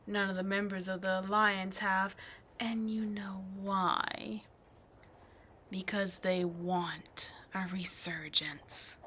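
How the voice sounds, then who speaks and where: A woman's voice: mid-range voice, fairly bright tone. A woman voicing a scheming demon queen in an ASMR roleplay, sly and mocking, often slipping into whispers, with faint noise behind. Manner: sly, taunting, drifting into whispers. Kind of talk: ASMR roleplay audio drama.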